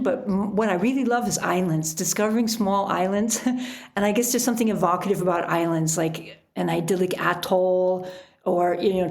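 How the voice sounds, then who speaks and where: A woman speaking as an interview guest and writer, chatting casually in an animated storytelling way. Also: mid-range voice. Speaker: podcast guest, adventure writer.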